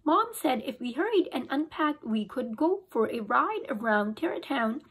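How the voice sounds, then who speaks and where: A young woman reads a children's book aloud in a lively, expressive storytelling way, her voice high and a little husky. She is recorded on a phone held close in a small room at home. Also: normal speed, rough recording. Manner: expressive storytelling, occasional restarts. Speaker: teacher. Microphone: phone camera held close.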